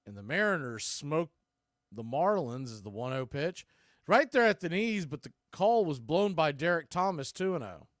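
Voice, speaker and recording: A man doing live radio-style baseball play-by-play as the announcer, making clipped pitch calls in short phrases. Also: very animated, normal speed, dry recording, studio quality.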